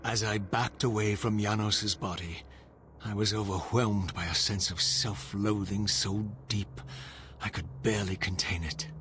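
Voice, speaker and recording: An actor playing a vengeful undead wraith, speaking in formal, grandiose, theatrical language in a dramatic video game. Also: male voice, lowish voice, steady tone, measured pace, background noise, lightly acted. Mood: self-loathing. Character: Raziel, brooding vengeful undead male wraith, once vampire. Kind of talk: dramatic scripted game narration and dialogue.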